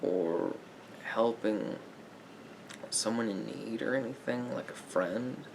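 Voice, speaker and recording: A man playing a calm, sympathetic therapist in an ASMR roleplay, speaking in a halting, pensive way with pauses. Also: vocal fry. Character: calm, sympathetic male therapist talking to a client. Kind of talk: slow therapy roleplay ASMR, improvised and conversational. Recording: noisy recording with low rumble.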